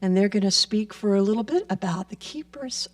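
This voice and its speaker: A woman making gentle announcements and blessings during a church service. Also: mid-range voice. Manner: slow, gentle, blessing-style delivery. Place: church sanctuary. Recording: voice picked up from a distance.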